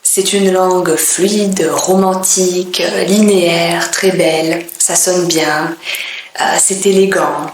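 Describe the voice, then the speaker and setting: A woman from France, a French teacher, speaking in a clear, deliberate way with pauses between phrases. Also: fairly low pitch, steady tone, roomy sound, slight background noise, rough recording. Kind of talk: slow, careful teaching monologue.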